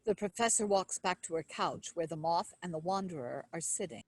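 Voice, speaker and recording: A woman narrator with a husky voice reading stage directions as scripted narration. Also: on the low side, a little fast, no echo.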